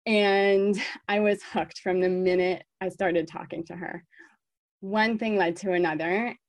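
A woman's voice: medium pitch, steady tone, unhurried, dry recording. A woman in her thirties with an American accent, talking solo to her podcast listeners with an emphatic delivery that repeats words for stress. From born in South Africa, raised in the United States. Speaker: podcast host.

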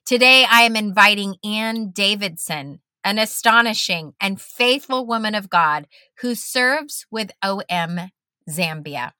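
Effusive woman podcast host, unhurried delivery, dry close sound. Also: medium pitch, lively intonation, pushed and bright, clear, resonant tone, no room sound. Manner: effusive, praising, drawn-out phrasing. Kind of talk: podcast interview hosting.

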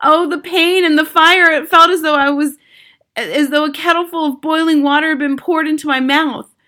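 A woman's voice: sits high, steady tone, normal speed, fairly bright tone, pitched above the performer's own voice. A woman voicing a frightened child who tells of a terrible ordeal in a dramatic first-person storybook reading. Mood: agonised, frantic. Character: terrified young child hero telling of their ordeal.

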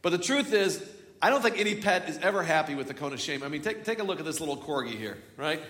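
Joking pastor in an echoey hall. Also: male voice, on the high side, sing-song, fast, fairly bright tone. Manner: chatty, joking, with frequent restarts. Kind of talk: sermon. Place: large church hall. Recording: echoey church hall from far off.

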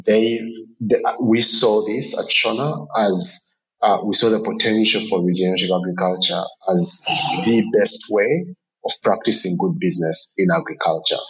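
Guy from uganda, really deep slightly rough voice, measured podcast answers, dull distant audio. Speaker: podcast guest, agribusiness founder. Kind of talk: thoughtful interview answer.

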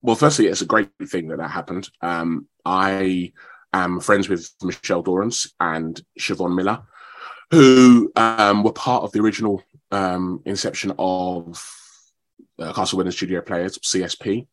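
A man, talking as a guest in a radio interview in a modest, measured, slightly halting way. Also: sits low. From London, England. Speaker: actor, radio show guest.

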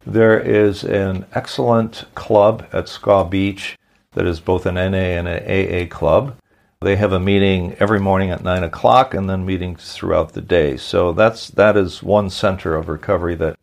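Low gravelly male podcast host. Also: fairly even intonation. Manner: unhurried, with hesitant fillers. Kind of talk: podcast interview with a sponsor read.

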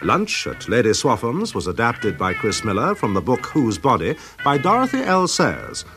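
A man's voice: medium pitch, wildly swooping pitch, normal speed. A man with a British accent working as a radio announcer, reading scripted closing credits. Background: music.

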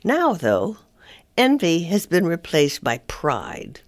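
A woman reads her own humorous column aloud with wry, self-mocking timing. Her pitch sits on the low side but swings widely as she goes. The sound is dry and close, with no room around it. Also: huge pitch swings, slow, dry recording. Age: older. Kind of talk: reading a humorous personal column.

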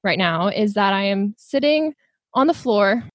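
A young woman in her twenties from Texas hosting a podcast from a home closet over a video call, upbeat and quick to laugh as she asks her questions. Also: medium pitch, lively intonation, no room sound, clean studio sound. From Houston, Texas. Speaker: podcast host. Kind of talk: scripted-sounding interview questions.

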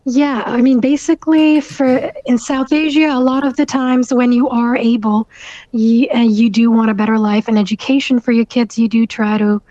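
A young woman from Canada whose first language is Urdu, answering interview questions thoughtfully with many fillers and soft laughs, heard over dull lo-fi audio. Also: fairly high pitch, steady tone, normal speed, no echo. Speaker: guest author.

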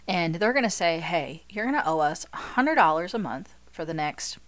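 A chatty woman in her thirties talks through her solo podcast in a slightly low voice, a little nasal from a cold. She is lively and jokey, tossing in playful asides as a money coach speaking to listeners. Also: moderate pace.

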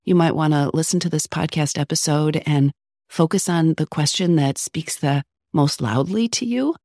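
A woman's voice: low-pitched, darkish tone, dry recording. Woman with a sore throat, bit scratchy, coachy podcast talk, dry close sound. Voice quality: slightly scratchy. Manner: earnest, coaching, slows down on key points. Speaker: podcast host, psychologist. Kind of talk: solo advice podcast.

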